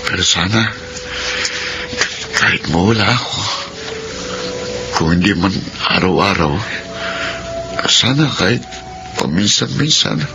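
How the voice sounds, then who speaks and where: Radio drama role: gentle sick man, deep and creaky, halting tender delivery, music underneath. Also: lively intonation, background noise, full character voice. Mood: tender, sorrowful. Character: gentle, ailing man saying grateful farewell to friend. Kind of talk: Filipino radio drama acting. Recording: music bed behind the voice.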